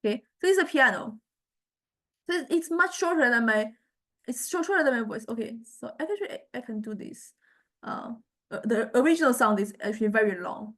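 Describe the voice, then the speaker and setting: A woman lecturer giving an informal software demonstration, speaking haltingly and often repeating her words as she explains. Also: a little high, expressive. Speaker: music technology lecturer.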